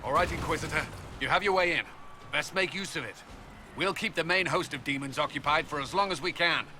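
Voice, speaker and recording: A dutiful military commander in a fantasy game. His voice is a touch high for a man. He briefs in a clipped, steady and serious manner. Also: brisk, a little hiss or hum. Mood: urgent. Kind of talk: dramatic video game acting.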